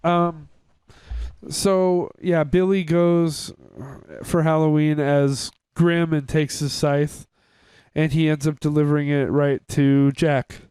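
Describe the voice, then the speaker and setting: Male podcast co-host, relaxed conversational review style, clean smooth tone in a dry recording, loosely phrased. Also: on the high side, takes it slowly, dry recording. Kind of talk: casual chat reviewing cartoon episodes.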